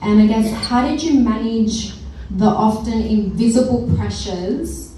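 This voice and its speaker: A woman's voice: a little high, measured pace. A woman moderating a live panel discussion, introducing the guests and asking them questions. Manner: warm introductions, checks pronunciation and sound. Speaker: event host and panel moderator. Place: large event hall. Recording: echoey hall from far off, faint noise.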